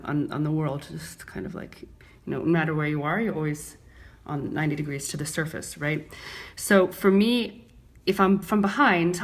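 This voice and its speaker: Low-pitched American woman teaching hands-on. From Brooklyn, New York, United States. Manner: clear, deliberate enunciation. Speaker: microblading instructor and artist. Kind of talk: live demonstration with casual explanation.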